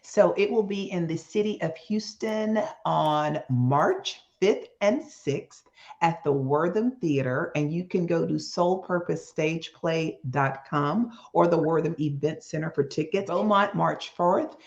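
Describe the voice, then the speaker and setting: Slightly dull audio carries a woman with a lowish, gravelly voice full of fry. She speaks as an interview guest in a promotional style. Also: takes it slowly. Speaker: guest promoting a stage play.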